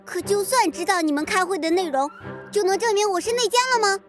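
A woman's voice: very high-pitched, fast talker, over music. A woman voicing an upset schoolchild in a children's audio drama, defending herself after being accused of betraying her friends. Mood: hurt. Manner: wounded, defensive, stammering.

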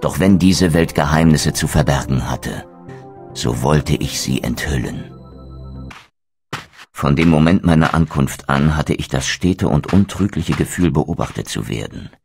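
Ein Schauspieler spricht einen bitteren, stolzen untoten Krieger in einem Videospiel, feierlich und wortgewandt. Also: male voice, a bass voice, measured pace, creaky, darkish tone, faint music behind, full character voice. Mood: brooding. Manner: solemn, eloquent, theatrical. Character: bitter, proud undead wraith warrior, male. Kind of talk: dramatic video game narration and dialogue.

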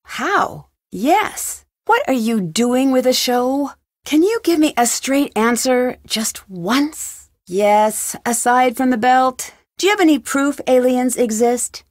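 A woman's voice: high-pitched, lively intonation, slow pace, no room sound, clean studio sound. An actress voicing a curious, composed young female detective in a video game, asking polite but pointed questions. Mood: curious, questioning.

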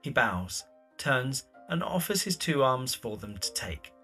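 A man narrates an audio drama's scenes from a script. His bright voice sits at a middling pitch and swoops expressively through each stage direction. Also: big pitch swings, a little slow, soft background music.

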